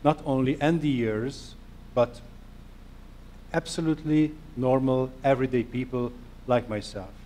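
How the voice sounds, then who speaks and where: A middle-aged man from Hungary with a Hungarian accent, giving an earnest, self-deprecating conference presentation in halting non-native phrasing. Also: mid-range voice, steady tone, warm tone, slight background noise. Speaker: conference speaker.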